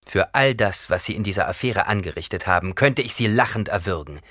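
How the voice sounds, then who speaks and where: A man's voice: sits low, animated, a little fast, fairly bright tone, dry recording, clean studio sound. A male narrator voicing a defiant, commanding young man in an audiobook, declaiming with scorn and force. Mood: angry, threatening.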